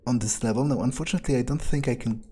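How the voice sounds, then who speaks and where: A man playing a cynical, arrogant vampire in a game, musing haltingly to himself with plenty of swearing. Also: mid-range voice, warm tone, slightly rough, natural voice. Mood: calculating, irritated. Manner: musing, halting, foul-mouthed. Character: cynical, arrogant, cold-blooded male vampire hitman. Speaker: gamer playing in character. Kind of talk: in-character game playthrough monologue.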